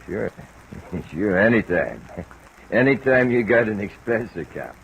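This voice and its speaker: An actor playing a shifty, slangy American bartender and evasive informant in an old radio detective drama. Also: male voice, fairly low pitch, takes it slowly, gravelly, slightly rough, highs cut off, exaggerated voice. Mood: evasive, chummy. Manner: slangy, streetwise, hedging. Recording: noisy old radio recording, steady hiss.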